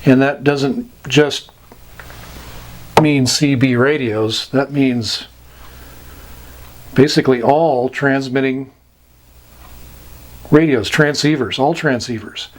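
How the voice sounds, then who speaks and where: A man teaches in an informal, explanatory style. His voice sits at a medium pitch, and he speaks slowly and deliberately. The delivery is halting, with long pauses between phrases. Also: a little hiss or hum. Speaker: instructor.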